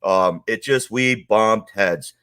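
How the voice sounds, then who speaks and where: A man's voice: fairly low pitch, slow, a bit bright, clean tone. Streetwise middle-aged New man. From Queens, New York. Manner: rambling, streetwise, many "you know"s. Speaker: interview guest. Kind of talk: casual storytelling.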